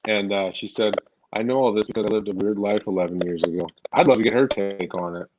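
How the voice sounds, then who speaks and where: A man's voice: fairly low pitch, fast talker, music in the background, low-quality recording. A man in his thirties hosting a podcast, reading out viewer comments and joking with the group. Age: 30s. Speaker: podcast host. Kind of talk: casual banter. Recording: audible background noise.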